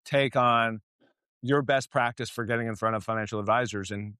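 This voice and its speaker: A man's voice: mid-range voice, steady tone, normal speed, pushed and bright, smooth, no echo, clean studio sound. Casual male podcast host. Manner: casual, loose phrasing with fillers. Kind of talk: casual interview chat.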